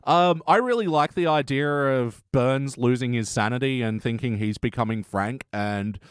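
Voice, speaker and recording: A man's voice: mid-range voice, normal speed, clear, resonant tone, no room sound, clean studio sound. A man hosting a podcast, chatting casually through a review, with some swearing. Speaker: podcast host.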